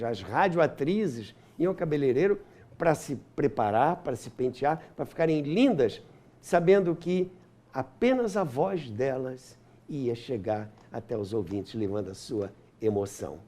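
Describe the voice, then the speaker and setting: A man's voice: mid-range voice, darkish tone. A man, telling anecdotes as an interview guest in an enthusiastic, nostalgic way. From Brazil. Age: 70s. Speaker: interview guest, radio historian and author. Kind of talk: animated anecdotal storytelling in an interview.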